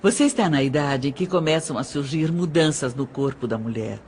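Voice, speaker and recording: Low, swooping Brazilian stepmother, played by a woman. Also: animated, fairly quick, darkish tone, slight background noise. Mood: sweetly maternal. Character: haughty middle-aged stepmother, cool with sugary motherly tenderness. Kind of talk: Portuguese-language audio drama acting.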